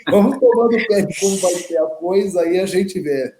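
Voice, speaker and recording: High, mellow-voiced man in an echoey room. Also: animated, measured pace, a little hiss or hum. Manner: chatty, with tag questions like "né" and "tá". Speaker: professor and panelist.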